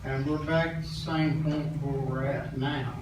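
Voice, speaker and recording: Skeptical guy at a board meeting, talks real slow, big echo n noise. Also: medium pitch, little pitch movement. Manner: skeptical, deliberate. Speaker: county board member. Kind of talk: commenting at a public meeting. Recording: very echoey room, distant mic, noisy.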